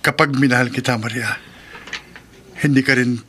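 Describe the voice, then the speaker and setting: An actor playing a heartbroken Filipino husband under an enchantress's spell, in a radio horror drama. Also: male voice, medium pitch, fairly even intonation, moderate pace, sharp tone, a little hiss or hum, lightly acted. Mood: wounded, pleading.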